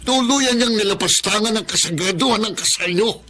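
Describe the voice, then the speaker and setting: A man's voice: high voice, fairly quick, pushed and bright. An actor playing a hot-tempered, protective older father, scolding indignantly in a Filipino radio drama. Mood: outraged.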